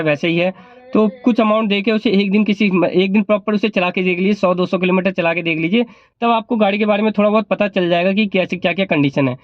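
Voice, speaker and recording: High-pitched, fast-talking man with a sore throat. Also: no echo, lo-fi sound. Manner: explanatory, repeats points. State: sore throat, not speaking at full volume. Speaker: vlogger and cab driver. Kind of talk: informal advice vlog talk.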